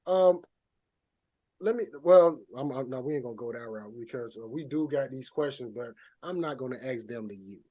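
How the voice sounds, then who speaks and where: A man's voice: medium pitch, moderate pace, warm tone. A man hosting a podcast in a casual interview style, speaking informally with slangy phrasing. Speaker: podcast host.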